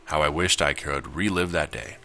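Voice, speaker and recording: A man's voice: rumbling low, moderate pace, sharp tone. A man reading a visual novel aloud as he plays it, with casual commentary. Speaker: gamer narrating his gameplay.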